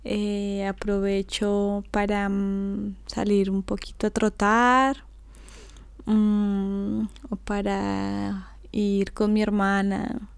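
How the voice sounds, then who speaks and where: A young Colombian woman with a Colombian Spanish accent, chatting casually as co-host, relaxed and laughing often with many fillers. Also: medium pitch, extremely slow, creaky, clear, resonant tone, no room sound. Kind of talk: casual conversation.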